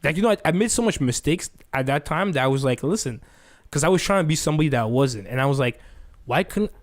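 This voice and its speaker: Higher-pitched young man, animated chat. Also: quick pace. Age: 20s. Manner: animated, restarts often, quotes himself. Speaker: podcast co-host. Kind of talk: casual chat.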